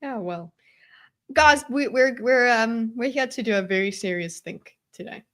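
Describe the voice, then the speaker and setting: Woman, panel host, fairly high voice, casual group chat, playful mock-serious delivery. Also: normal speed, fairly bright tone.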